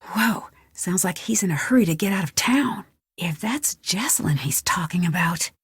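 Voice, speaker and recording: A woman's voice: on the low side, lively intonation, moderate pace, husky, no echo, clean studio sound, exaggerated voice. A young woman playing a curious, plucky amateur detective in an adventure video game, thinking aloud to herself. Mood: hushed, secretive. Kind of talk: scripted adventure game voice lines.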